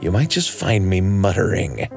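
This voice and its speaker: A man telling a scripted personal story in an expressive, wry manner. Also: low-pitched, normal speed, creaky, music in the background.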